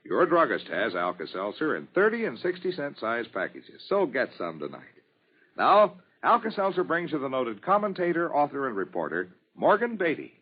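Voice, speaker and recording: A man's voice: mid-range voice, wildly swooping pitch, normal speed, slightly rough, highs cut off. An American man reading a 1940s radio commercial in a chatty, folksy salesman's manner, on a vintage broadcast recording. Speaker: radio announcer reading a sponsor ad. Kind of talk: 1940s radio advertising pitch.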